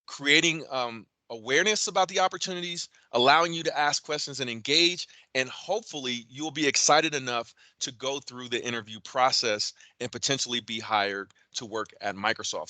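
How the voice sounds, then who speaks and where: Male event host, bright voice, dry close sound, casual delivery with fillers. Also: mid-range voice, no echo. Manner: conversational, frequent fillers and restarts. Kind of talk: virtual event introduction.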